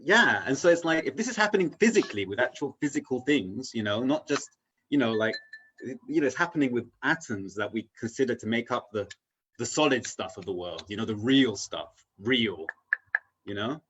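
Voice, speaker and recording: A man's voice: mid-range voice, lively intonation, fairly quick, fairly bright tone, dull audio, a little hiss or hum. A man chatting as an interview guest in a casual, reflective way, earnest and rambling as he works through ideas. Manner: earnest, rambling, philosophical, often checking in with "you know".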